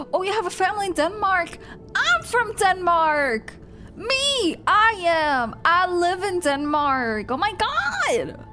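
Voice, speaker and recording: A young woman streaming her reaction to a game story, chatting casually and swinging between squeals and flat rambling asides. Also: extremely high pitch, slow pace. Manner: excitable, gasps and squeals, then drops to flat rambling. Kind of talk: casual live reaction commentary. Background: game music.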